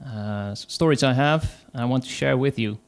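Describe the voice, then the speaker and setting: Dutch-accented male conference speaker, rough-edged voice, halting delivery. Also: medium pitch. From Netherlands. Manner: hesitant, many fillers, pauses. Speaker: conference speaker, agile coach. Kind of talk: conference talk.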